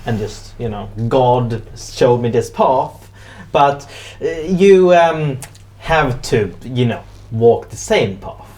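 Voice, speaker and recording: Slow-paced man narrating as game master. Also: mid-range voice, lively intonation, darkish tone. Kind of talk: improvised narration of a tabletop roleplaying game. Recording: faint background noise.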